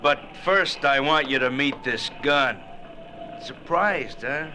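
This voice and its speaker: A man playing a slick gunman posing as a fisherman in old radio drama, brisk and forceful, on an old recording. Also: medium pitch, background noise, close to an everyday voice. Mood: smug, menacing. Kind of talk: 1940s-50s radio drama acting.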